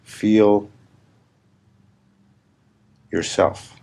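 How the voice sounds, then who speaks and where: A man leading a guided meditation as a spiritual teacher, speaking deliberately to a live group in short phrases with pauses. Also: on the low side, steady tone, warm tone. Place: live session before a group in Los Angeles.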